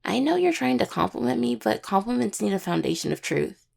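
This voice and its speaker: A young woman playing a caring, teasing necromancer healer in an intimate audio roleplay, with a husky voice and conversational delivery. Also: mid-range voice, sing-song, brisk, darkish tone, exaggerated voice. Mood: playful teasing. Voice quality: husky, gravelly. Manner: hesitant, teasing, full of pet names.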